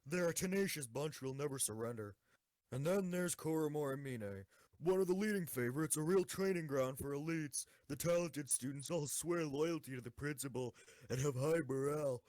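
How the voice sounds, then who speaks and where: A man's voice: on the high side, normal speed, a little mellow, no echo, close to an everyday voice. A man playing an eager, devoted schoolgirl tank enthusiast in an amateur audio drama. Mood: explaining.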